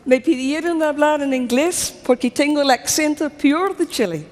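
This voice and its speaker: A woman from New Zealand with a New Zealand accent giving a conference lecture, speaking in short phrases and pausing for an interpreter. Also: high-pitched, lively intonation. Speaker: conference speaker, plant ecologist. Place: large conference hall. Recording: long hall reverb, faint noise.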